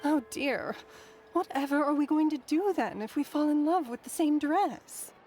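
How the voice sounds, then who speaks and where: A woman voices a chatty, excitable society lady with a fairly high voice and a fussy way of talking. Soft game music sits behind her. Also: moderate pace, lightly acted. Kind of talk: game dialogue. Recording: game audio over background music.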